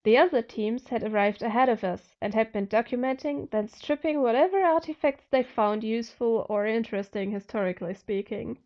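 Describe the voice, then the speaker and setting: A woman's voice: a little high, a little fast, hardly any pauses, muffled recording. A woman narrating first-person fiction expressively as an amateur audiobook reader.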